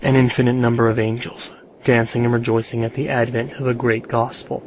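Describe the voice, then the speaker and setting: A man playing a solemn, brooding narrator in a dramatic visual novel fandub, deliberate in delivery. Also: medium pitch, little pitch movement, a little hiss or hum, close to an everyday voice, rough recording. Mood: solemn foreboding.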